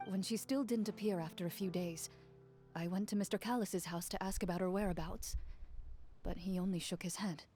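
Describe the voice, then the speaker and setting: A woman playing a composed, dutiful young duelist in a video game, speaking in a measured, formal, matter-of-fact way. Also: fairly low pitch, fairly even intonation, warm tone, soft background music, natural voice. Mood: serious, reflective. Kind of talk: scripted video game dialogue.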